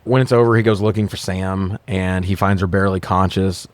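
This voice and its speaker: A man's voice: low-pitched, steady tone, fairly quick. A man co-hosting a casual film review podcast, recapping scenes in a joking, casually profane way. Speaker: podcast co-host reviewing films.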